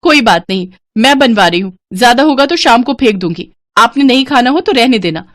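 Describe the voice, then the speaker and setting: A woman's voice: a little high, lively intonation, a little fast, fairly bright tone, voice pushed up. A woman voicing a spoiled, wasteful young wife who answers back dismissively. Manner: dismissive, raised voice.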